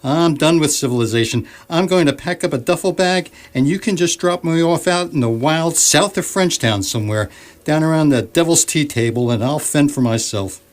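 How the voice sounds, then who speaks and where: A man's voice: a little high, moderate pace, lightly acted. A man playing a grumbling, exasperated middle-aged husband who is fed up and wants to be a recluse, in a comedic radio sketch. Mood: resigned, defiant.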